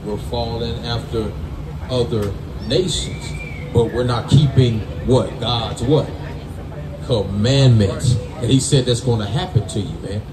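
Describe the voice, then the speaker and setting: A man's voice: mid-range voice, fairly even intonation, unhurried. American man preaching, echoey and far off. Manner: emphatic, colloquial, punctuates with "right?" and "man". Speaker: street preacher teaching from scripture. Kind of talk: call-and-response Bible teaching. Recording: distant roomy mic amid people's noise.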